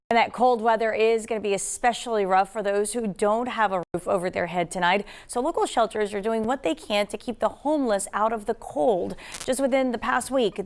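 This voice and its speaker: An American woman reading news as a TV anchor. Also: medium pitch.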